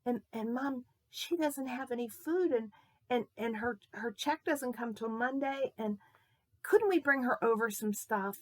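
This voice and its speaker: A woman voicing an earnest, excitable young boy in a children's story, tripping over and repeating his words. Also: a little high, a little slow, pitched above the performer's own voice. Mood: pleading. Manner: breathless repeats and stammered starts. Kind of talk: children's Bible-lesson storytelling.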